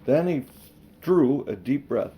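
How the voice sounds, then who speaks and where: Older man reading a story, very animated. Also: medium pitch, slow pace, breathy edge, rough recording. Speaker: audiobook reader. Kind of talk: expressive storybook narration.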